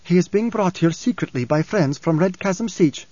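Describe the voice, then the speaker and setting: A man's voice: sits high, animated, moderate pace, warm tone, dry recording, voice pushed up. A man voicing a blunt, superstitious desert woman who talks in a demanding, scolding way. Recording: dull, muffled lo-fi audio.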